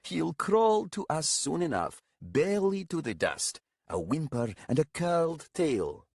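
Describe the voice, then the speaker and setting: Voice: mid-range voice, big pitch swings, measured pace, a little mellow, breathy edge, no echo, clean studio sound, pitched above the performer's own voice, full character voice. A man voicing a pompous older warrior, a dramatic and mocking doomsayer with florid, wailing archaic speech in a story.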